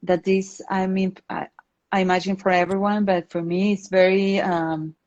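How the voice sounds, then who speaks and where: A woman's voice: medium pitch, flat delivery, moderate pace, a little mellow. A woman with an Argentine accent and Spanish as her first language, speaking casually as an interview guest and often searching for words. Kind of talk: casual interview talk, explaining own work. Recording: slightly dull, lo-fi online call.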